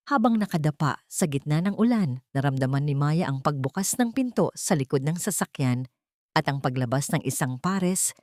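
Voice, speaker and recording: A woman's voice: deep voice, darkish tone, no room sound, studio quality. A woman with a Filipino accent reading a scripted dramatic audio story as its narrator.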